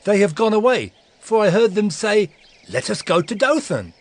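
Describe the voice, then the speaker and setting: A helpful stranger from the fields in a scripture drama. His voice is very high and animated, recorded dry. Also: normal speed, no echo. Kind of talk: Bible audio drama acting.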